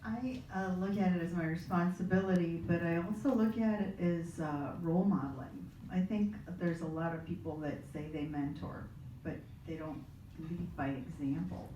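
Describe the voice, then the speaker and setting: A woman panelist speaking in an animated way, acting out quick asides as she talks. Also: lowish voice, lo-fi sound, a little hiss or hum. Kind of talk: panel discussion. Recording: echoey room audio from far off.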